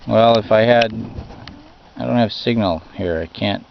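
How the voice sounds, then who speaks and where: A man chatting and telling a story casually outdoors, in a joking, halting way. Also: lowish voice, gravelly, crowd noise. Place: outdoors, back of a pickup by a creek. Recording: very noisy, muffled outdoor amateur recording.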